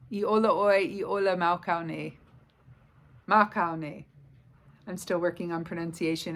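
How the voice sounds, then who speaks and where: Woman in her 40s, wellness coach, lowish voice, slow affirming talk straight to camera in a living room. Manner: slow, soothing, affirming delivery. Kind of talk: guided self-help talk to camera. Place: home living room by a stove.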